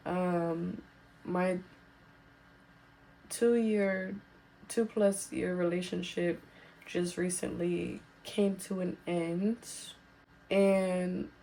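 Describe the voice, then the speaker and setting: A woman hosting a podcast as casual solo girl talk, informal and reassuring, her voice slightly raspy as she recovers from being sick. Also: on the low side, fairly even intonation, very slow, long pauses, mellow, a little hiss or hum, poor audio. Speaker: podcast host.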